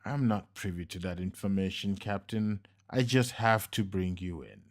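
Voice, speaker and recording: A man voicing a slickly dressed, coolly polite and menacing henchman, speaking slow, measured and smug. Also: low-pitched. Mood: smooth.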